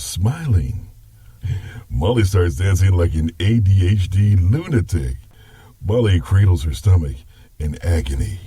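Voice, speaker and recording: A man with a husky voice narrating a scripted story in a dramatic style. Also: low-pitched, dark tone, no echo.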